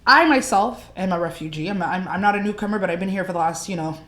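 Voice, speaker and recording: A Somali-born woman co-hosting a casual chat, animated and opinionated, with quick asides. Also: medium pitch, roomy sound, a crowd in the background. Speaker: co-host.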